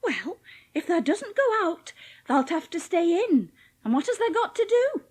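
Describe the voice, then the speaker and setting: A woman's voice: extremely high pitch, sing-song, measured pace, darkish tone, exaggerated voice. A woman playing a cheerful, plain-spoken young housemaid with a Yorkshire accent in a dramatised audiobook. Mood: brisk. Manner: chatty, blunt, homely dialect speech.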